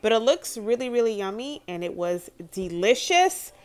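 A woman's voice: fairly high pitch, sing-song, normal speed, a little mellow. A young American woman talking casually and informally as she narrates a home cooking demonstration. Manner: chatty, informal. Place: home kitchen, indoors.

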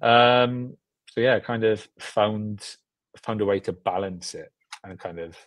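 A man's voice: on the low side, slow, dry recording. A young British man chatting casually as an interview guest, rambling and self-deprecating, repeating himself as he goes. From Britain. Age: young adult. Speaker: guest filmmaker. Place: room at home, on a video call.

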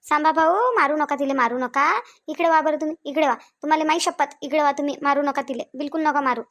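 Woman acting a high-pitched, insistent village sister-in-law. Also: brisk, fairly bright tone. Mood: urgent. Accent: rural Vidarbha Marathi. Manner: insistent, repetitive, reasoning. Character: sensible, protective village sister-in-law calming a quarrel. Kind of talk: amateur Marathi village family drama.

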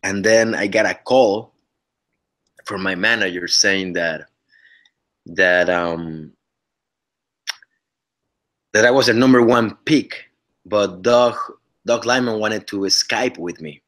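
A man's voice: on the low side, slow, pauses often, a bit bright. A man in his thirties from Mexico with a Mexican Spanish accent, speaking English as a second language. He talks earnestly on a video call from home, often restarting his sentences. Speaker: actor guest. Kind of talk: casual interview chat over video call. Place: living room at home. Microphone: webcam on a video call.

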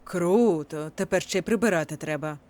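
A woman's voice: on the low side, moderate pace, darkish tone, a little hiss or hum, lightly acted. Grumpy, sardonic young shop girl, played by a woman. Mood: annoyed. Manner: slangy, irritated, sarcastic. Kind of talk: animated comedy fan dub.

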